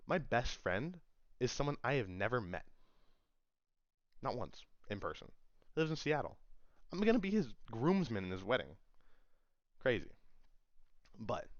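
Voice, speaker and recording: Low-voiced young man giving an informal speech. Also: no echo, lo-fi sound. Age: young adult. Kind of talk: informal public-speaking presentation to an audience.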